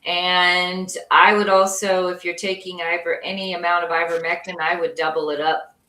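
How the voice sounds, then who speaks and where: A woman's voice: lowish voice, little pitch movement, normal speed, pushed and bright. A woman co-hosting a casual opinion talk show, blunt and salty in her remarks. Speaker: co-host. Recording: echoey room, voice far from mic.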